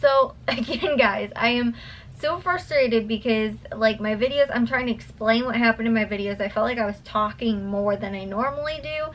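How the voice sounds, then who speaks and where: A woman's voice: on the high side, normal speed, slight background noise, poor audio. A tired young woman vlogger chatting casually to her viewers at home at night, rambling with lots of fillers and restarts, her voice a little worn. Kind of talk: casual chat to camera.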